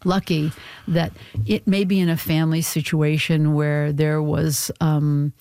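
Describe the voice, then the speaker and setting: A woman's voice: low-pitched, flat delivery, measured pace, mellow, no echo, clean studio sound. A middle-aged woman from Maine, speaking as an interview guest, thoughtful and hesitant, with many fillers and restarts. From Portland, Maine, USA. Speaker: interview guest, stage actor. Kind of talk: reflective casual conversation.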